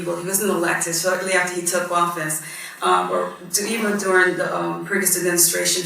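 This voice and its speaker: Gravelly-voiced woman in an echoey hall. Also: fairly low pitch, bright, forceful tone. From born in Guyana, raised in Barbados. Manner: earnest and reflective, with frequent ums. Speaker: judge on a panel. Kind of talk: personal story at a panel discussion. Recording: echoey hall, mic at a distance, faint noise.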